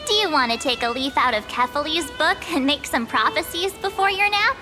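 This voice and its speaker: Casting: playful, chatty game girl, high and light, score underneath. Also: expressive, brisk, sharp tone. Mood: teasing. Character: lively, teasing young girl, cheerful. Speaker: game character. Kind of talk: game cutscene voice acting. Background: orchestral music.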